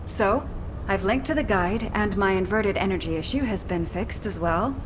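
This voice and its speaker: A woman's voice: medium pitch, natural voice. A woman playing an earnest, polite young female recruit soldier in a video game. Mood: upbeat. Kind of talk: video game character dialogue. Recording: loud vehicle and ambient noise behind.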